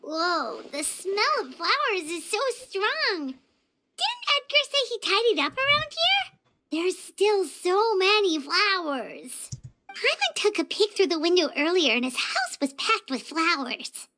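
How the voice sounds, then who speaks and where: A woman voicing a small, childlike fairy companion in a video game, squeaky, exclamatory and inquisitive. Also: sing-song, measured pace, background noise, full character voice. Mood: curious, amazed.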